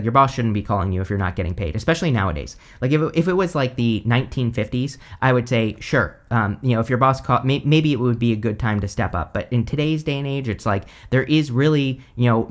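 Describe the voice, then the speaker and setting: Hoarse man, tarot reader, casual rambling talk, mid-range voice. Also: mid-range voice. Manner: rambling, full of "like", "you know" and "right?". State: hoarse, losing his voice. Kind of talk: casual tarot reading to camera.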